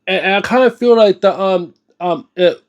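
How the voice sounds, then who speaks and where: A man with a notably high voice talks off the cuff as host of a casual video. He is halting and often repeats himself as he searches for words. His delivery is earnest, emphatic and slangy. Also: dull audio. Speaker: host of a book reading and discussion series. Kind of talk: unscripted casual video commentary.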